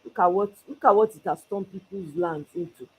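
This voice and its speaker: A woman's voice: mid-range voice, a little fast, noisy background. A Nigerian woman hosting her own commentary channel, talking with passion and moving between English and Pidgin. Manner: impassioned, mixing Pidgin with English. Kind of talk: solo political commentary. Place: abroad, away from Nigeria.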